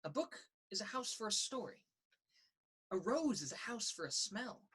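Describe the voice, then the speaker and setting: A woman reading a children's picture book aloud in a sing-song, rhythmic way, with a slightly husky voice. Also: mid-range voice, sing-song, moderate pace, fairly bright tone. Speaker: story reader. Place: indoors in a children's library.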